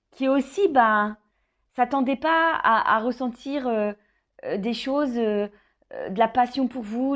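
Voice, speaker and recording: A woman with a slightly high voice hosts an informal card reading, speaking directly to the viewer. She improvises as she goes, pausing to think, repeating phrases and adding small conversational tags. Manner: hesitant, thinking aloud, with frequent "hein" tags and repeated phrases. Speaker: tarot card reader hosting a love horoscope reading. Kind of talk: informal improvised tarot guidance addressed to the viewer.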